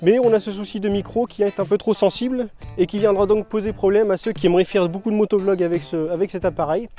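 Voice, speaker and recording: A man with a noticeably high voice and a mellow, soft tone talks through a gear review in an informal, conversational way. Faint music plays behind him. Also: steady tone, fast talker. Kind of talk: casual product review narration and riding chat.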